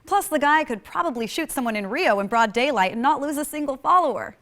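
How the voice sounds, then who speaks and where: A woman's voice: sits high, a bit bright. A woman host delivering scripted narration in a sassy, wry way.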